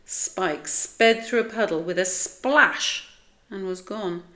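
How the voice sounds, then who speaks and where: A woman's voice: mid-range voice, slow pace, sharp tone. A woman with a British accent reading a children's story aloud expressively.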